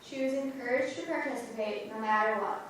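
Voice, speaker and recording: A woman's voice: fairly high pitch, brisk, a little hiss or hum. A woman performing reader's theater, steadily reading scripted church history in a church. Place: church sanctuary. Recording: echoey church from far off.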